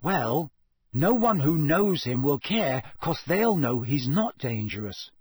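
A man voicing a blunt, easily flustered teenage boy in a storybook, with emphatic, drawn-out phrases. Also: fairly high pitch, sing-song, darkish tone, breathy edge, dry recording, clean studio sound, heavily acted. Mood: uneasy, defensive.

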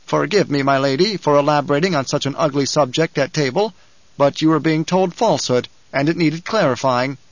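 A man voicing a calm male ecologist who lectures with quiet menace. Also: a little high, no room sound, lo-fi sound, lightly acted. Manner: lecturing, measured.

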